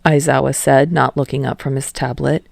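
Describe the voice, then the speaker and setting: A woman narrates her own fan fiction in a podfic, telling it in plain, even storytelling. Her voice sits low for a woman, and the recording is dry and close with no room sound. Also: normal speed, vocal fry, no echo. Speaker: podfic reader and author. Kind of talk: reading fan fiction aloud.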